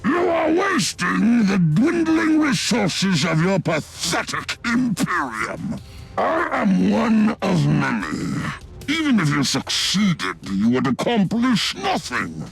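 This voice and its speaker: An actor playing a gloating, sadistic mad-scientist villain in a video game, with a theatrical, drawn-out menacing delivery. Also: male voice, sits high, very animated, vocal fry, faint music behind, full character voice. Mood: smug, gloating.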